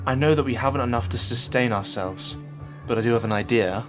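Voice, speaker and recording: An actor playing a stubborn, impassioned young husband in a dystopian audio drama, earnest and desperate. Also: male voice, medium pitch, fairly quick, close to an everyday voice. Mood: determined. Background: music.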